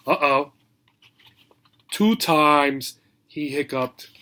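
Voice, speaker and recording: A man reads to young children as their teacher, taking his time over simple, short phrases. He prompts his listeners with questions in an animated, instructive way, at an unhurried pace. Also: a little high. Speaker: teacher reading a picture book to young learners. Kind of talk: children's read-aloud lesson, asking questions.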